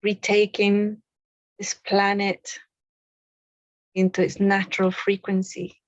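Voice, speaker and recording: Slow-paced woman guiding a meditation. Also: medium pitch, monotone, no room sound, muffled recording. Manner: soothing, unhurried, pausing between short phrases. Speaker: meditation guide. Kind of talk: guided meditation.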